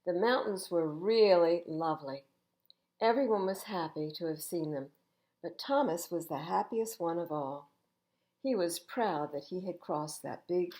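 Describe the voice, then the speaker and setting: Older woman reading storybooks, very animated. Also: fairly low pitch. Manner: emphatic, expressive, addressing young children. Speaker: library storytime reader. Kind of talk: children's storybook reading with chatty asides.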